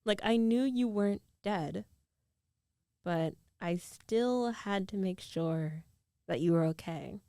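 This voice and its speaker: A woman playing a concerned, teasing young ex-girlfriend in an intimate ASMR roleplay, speaking softly and haltingly into a close microphone. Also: mid-range voice, no echo. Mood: apologetic, worried.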